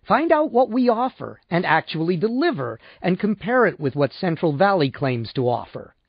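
An unctuous male insurance salesman from a novel, put on by a male narrator. He is fussy, pompous and easily shocked, with deference in a high, animated voice that swings widely. The recording is dry. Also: normal speed, creaky, no echo, highs cut off, heavily acted. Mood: flustered, earnest.

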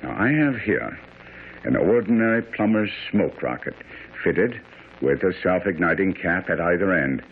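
An actor playing a self-assured, brilliant detective in a radio drama, speaking with precise, theatrical authority. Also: male voice, low voice, animated, normal speed. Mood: instructing, commanding. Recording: tinny, faintly noisy old radio broadcast.

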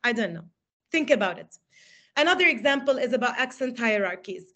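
Woman university lecturer from Lebanon, slightly high voice, reflective webinar delivery. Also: lively intonation, fairly quick, a bit bright. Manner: reflective, asks many rhetorical questions. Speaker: English lecturer.

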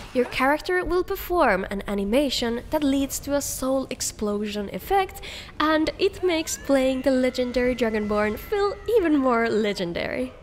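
A woman's voice: high voice, slight background noise. A woman hosting a video, reading scripted narration in an upbeat, enthusiastic presenting manner. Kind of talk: scripted narration of game mod showcase.

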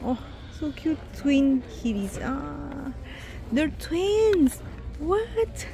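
A young woman chatting casually and excitedly to her phone camera while shopping and then eating out. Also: high voice, extremely slow, gravelly. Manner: chatty, excited, exclaims over cute things. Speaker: vlogger. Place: busy store and fast-food restaurant. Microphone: handheld phone camera. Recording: noisy public place ambience.

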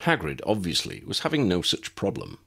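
A man's voice: sits low, wildly swooping pitch, a little fast, gravelly, a bit bright, breathy edge. A man reading a scripted story as an audiobook narrator.

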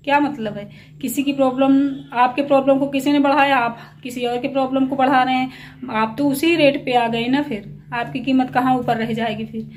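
Weary, high-voiced woman vlogger. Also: fairly even intonation, normal speed. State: unwell with a chill, tired, slightly breathless. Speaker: small family vlogger. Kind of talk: casual chat to viewers. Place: at home, alone. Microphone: handheld phone. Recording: clearly noisy handheld phone recording.

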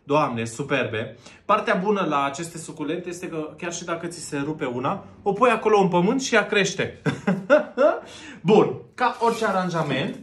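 A man in his thirties hosting a playful pretend cooking show, laughing a lot and stretching out his gushing praise. His voice sits a little high for a man. He is recorded by a camera a few feet away in an echoey room at home. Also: animated, fairly bright tone. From Romania. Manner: jokey, laughs often, drawn-out gushing words; busy with his hands while talking. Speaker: host of a plant arranging show. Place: home room with plant wallpaper.

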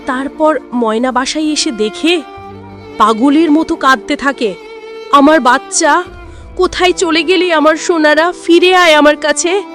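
A woman's voice: high voice, a little slow, a bit bright, soft background music. A woman voicing a grave bird friend who tells cautionary tales dramatically in a children's cartoon. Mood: grieving. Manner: dramatic, emphatic storytelling. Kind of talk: children's cartoon story acting.

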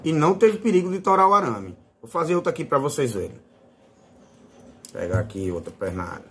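Guy from northeast brazil w that accent, kinda high voice, really up and down, chatty how-to, informal. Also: sing-song, fairly quick, a bit bright, slight background noise. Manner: informal, fond of diminutives. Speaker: fishing channel host. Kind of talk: casual hands-on tutorial.

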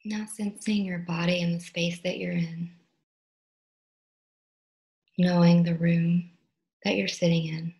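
Low-pitched woman guiding a meditation. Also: flat delivery, rough recording. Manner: soft, unhurried, with long pauses between phrases; gentle, instructional, soothing. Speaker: meditation teacher. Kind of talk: guided meditation.

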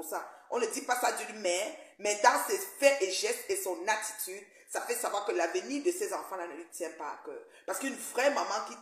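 A woman in her forties with a mid-range voice, speaking in an animated, indignant way. She gestures as she talks into a phone from an armchair, and the living room adds a roomy echo. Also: medium pitch. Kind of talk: video call discussion.

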